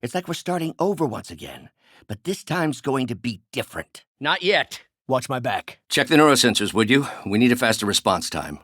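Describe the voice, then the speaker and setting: An older male scientist from a superhero game, a learned mentor with a bitter edge. He speaks articulately and theatrically, his pitch moving widely. The recording is dry and studio-clean. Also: very animated, normal speed, a bit bright, no room sound. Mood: enthusiastic. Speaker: voice actor in a superhero video game. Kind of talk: dramatic video game voice acting.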